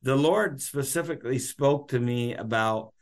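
A man's voice: medium pitch, normal speed. A man hosting a podcast interview, talking in a loose, rambling, unscripted way. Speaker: podcast host. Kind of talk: casual interview chat.

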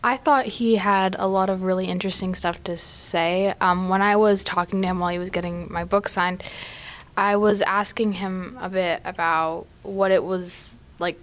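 A woman's voice: on the low side, steady tone, moderate pace. A young woman in her teens, speaking as a panelist on a book podcast. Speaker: teen reading ambassador, podcast panelist. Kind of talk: scripted reading and casual discussion.

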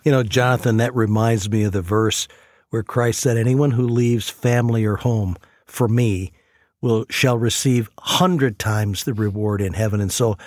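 A man's voice: on the low side, fairly even intonation, moderate pace, darkish tone, dry recording, studio quality. A man telling stories conversationally and earnestly as host of a faith radio program. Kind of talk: conversational storytelling on a faith talk show.

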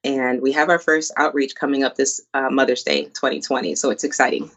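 A woman's voice: extremely low pitch, steady tone, a bit bright. Upbeat woman telling her story. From born in Colombia, raised in New Jersey. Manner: upbeat storyteller, quick asides. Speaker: guest. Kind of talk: casual interview conversation.

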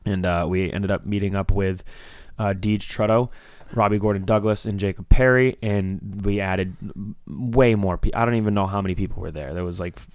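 Young male podcast co-host, slightly raspy, low-ish voice, rambling storyteller, dry close mic. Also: normal speed, dry recording. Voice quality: a little raspy. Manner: rambling, animated storytelling with frequent fillers. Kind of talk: casual storytelling chat.

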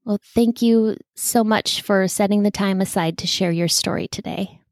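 A woman's voice: mid-range voice, normal speed, a little mellow, no echo, studio quality. A woman hosting a podcast, speaking personally to listeners and putting questions to a guest. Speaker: podcast host. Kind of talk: personal podcast introduction and interviewing.

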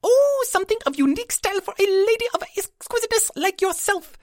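A high, squeaky pixie shopkeeper, perky and easily flustered. She stammers and reaches for grand, ornate words. The voice swoops lively over a dry, close sound. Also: brisk, dry recording. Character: tiny perky female winged shopkeeper, flustered.

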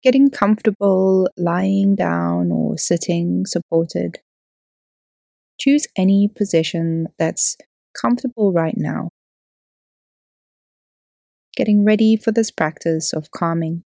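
A woman yoga teacher leading a guided relaxation in a soothing, unhurried, gently instructing way. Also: on the low side, vocal fry, no room sound, clean studio sound. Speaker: yoga teacher and podcast host. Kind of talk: guided relaxation meditation narration.

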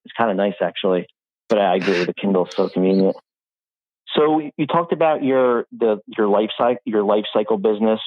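A man hosting a podcast, chatting in a relaxed way with many "like" fillers. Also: lowish voice, no room sound. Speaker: podcast host. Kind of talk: casual interview chat. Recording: tinny phone-like line.

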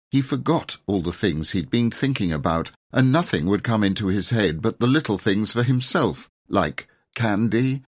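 A man narrating a children's storybook expressively, with measured, dramatic emphasis. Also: lowish voice. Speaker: audiobook narrator.

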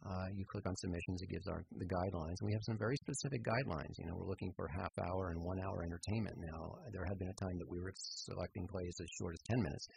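A man being interviewed as a radio theater organizer, explaining things in a halting, conversational way. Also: low-pitched, fairly even intonation, creaky, a little mellow, husky, dry recording, poor audio.

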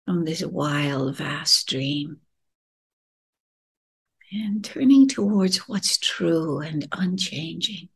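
A woman leading a guided meditation in a gentle, soothing, breathy voice that often drops near a whisper, unhurried with long pauses. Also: on the low side. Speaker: podcast host and meditation guide.

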